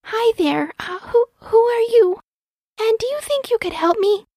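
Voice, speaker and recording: A woman's voice: very high-pitched, expressive, a little slow, mellow, dry recording, voice pushed up, exaggerated voice. A woman voicing a timid, earnest young female baby owl with a hesitant, stammering delivery in a storybook reading. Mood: timid, pleading.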